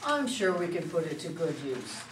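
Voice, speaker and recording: A woman playing a tough but soft-hearted older politician in an amateur play reading, dry and wry. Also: low-pitched, sing-song, normal speed. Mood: gracious. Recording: distant, echoey room, faint noise.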